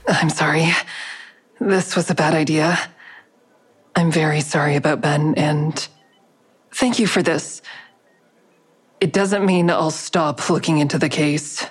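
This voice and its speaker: A woman's voice: sits low, fairly even intonation, unhurried, pauses often, breathy edge, no echo. An actress playing an anxious, earnest crisis counselor, telling her story in a hesitant, introspective first-person style for an audio drama. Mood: hurt, earnest.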